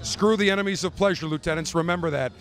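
A middle-aged man with a notably high voice runs a live roving tour, a brash, joking showman who teases his guests and keeps things moving. He talks into a handheld mic on the floor of a big indoor convention hall. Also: fast, fairly bright tone, soft background music. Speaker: radio show host touring a trade show. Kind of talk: live roving interviews and walkthrough. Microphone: handheld interview mic.

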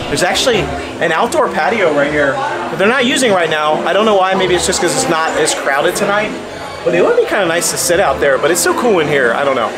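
A man's voice: a little high, very noisy. An American man in his forties chatting casually to the camera as a vlog host, in an enthusiastic, rambling way. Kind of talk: casual food review chat to camera. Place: inside a busy restaurant. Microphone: camera at arm's length. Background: loud music.

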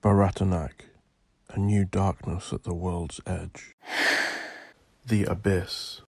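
A man narrating scripted horror fiction in an ominous, deliberate, theatrical way, with a gravelly, husky voice. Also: deep voice, flat delivery, a little mellow.

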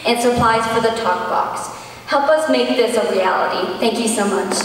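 A woman's voice: fairly high pitch, normal speed. A teenage female student giving a presentation and answering questions in a large hall. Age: teens. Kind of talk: presentation and audience Q&A. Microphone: far camera. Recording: very echoey hall, distant, faint noise.

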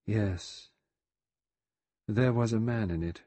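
A man's voice: lowish voice, slow pace, warm tone, husky, dry recording. A man voicing a frightened, desperate woman in an audiobook, with urgent and anxious pleading. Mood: dull, shaken.

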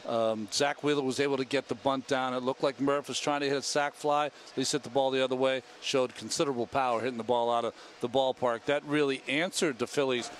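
Male studio analyst, headset, measured game breakdown. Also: medium pitch, fairly even intonation, slight background noise. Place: TV studio booth.

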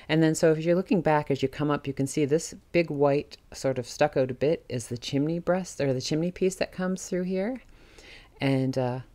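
A woman's voice: extremely low pitch, dark tone. A woman giving a casual, meandering tour of her old cottage, chatting with small laughs and restarts. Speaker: homeowner giving a house tour. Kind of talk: casual narrated walk-through. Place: old wooden cottage, indoors.

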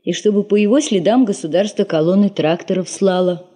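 An actress playing a weary, bitter middle-aged secretary in a 1970s Soviet radio drama, with an expressive, rueful manner. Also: female voice, medium pitch, fairly quick, husky, lightly acted. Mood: bitter, grieving. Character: weary, bitter older secretary, a bereaved mother in the north.

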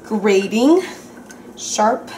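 A woman's voice: mid-range voice, lively intonation, very slow, mellow. Young woman, bubbly home-cook vlogger, echoey kitchen, faint room hum. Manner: bubbly and dramatic. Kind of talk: casual cooking vlog.

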